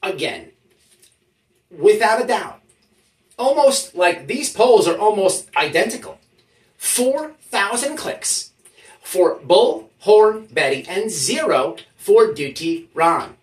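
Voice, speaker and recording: The voice sits back from the mic in an echoey room. It belongs to a YouTube host delivering mock-serious commentary, with pitch that swings widely and an emphatic, official-sounding delivery that halts for effect. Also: big pitch swings, slow. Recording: roomy echo, distant from the mic.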